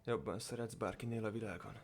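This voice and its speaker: A man's voice: lowish voice, fairly even intonation, slight background noise, lightly acted. A man voicing a reserved, awkward young man in a fan dub, with a dry, joking, understated delivery. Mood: hushed. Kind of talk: amateur fan dub acting.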